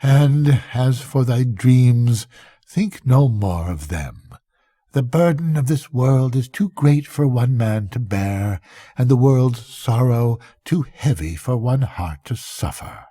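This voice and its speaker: A man's voice: medium pitch, lively intonation, slow pace, mellow, no echo, clean studio sound, voice pushed up. A man voicing a grave, aged, world-weary bishop in a bedtime storybook, giving solemn counsel in archaic phrases. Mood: admonishing.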